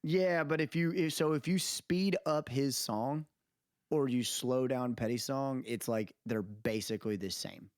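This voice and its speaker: A man's voice: mid-range voice, unhurried, no echo, studio quality. A man chatting casually as a podcast co-host, arguing his point in informal, slangy language. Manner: animated, argumentative, informal slangy talk.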